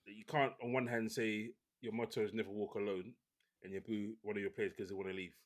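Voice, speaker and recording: A man hosting a casual football podcast, talking in a relaxed, opinionated way with many restarts. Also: on the low side, fairly quick. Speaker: podcast host.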